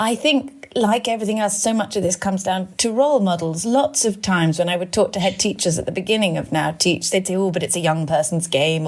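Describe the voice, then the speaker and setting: A woman's voice: medium pitch, a little mellow. A woman speaking conversationally as a panel guest, thoughtful and discursive as she makes her points. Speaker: panel guest, social entrepreneur.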